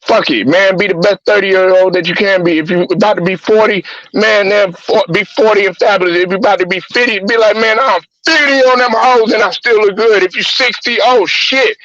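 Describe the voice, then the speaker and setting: High-voiced man ranting bluntly. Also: fairly even intonation, a little fast, hardly any pauses, a bit bright, no room sound, dull audio. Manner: blunt, repetitive, heated. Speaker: host. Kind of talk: profane sports-talk rant.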